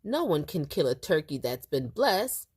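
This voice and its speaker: Woman as a brisk old grandma, lively pitch. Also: breathy edge, no room sound, studio quality, full character voice. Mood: matter-of-fact.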